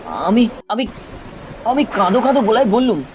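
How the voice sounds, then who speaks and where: A man narrates a scripted horror tale in a dramatic style. His voice is high and lively in pitch, with clear noise running behind it. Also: moderate pace. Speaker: story narrator.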